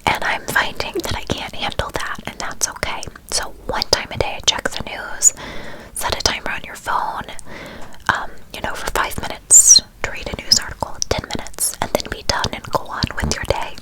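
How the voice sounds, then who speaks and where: A woman whispering softly and soothingly to her viewers, calling them her loves. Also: rough recording. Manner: soft, slow, soothing, addresses listeners as "my loves". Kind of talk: whispered ASMR-style talk.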